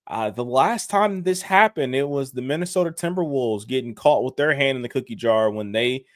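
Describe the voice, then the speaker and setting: A man with a mid-pitched voice walking through sports news on a podcast. The sound is dry and close, and he argues his points in a steady, measured way. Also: no echo. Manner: measured, explaining, some restarts. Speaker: podcast co-host. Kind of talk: casual sports chat.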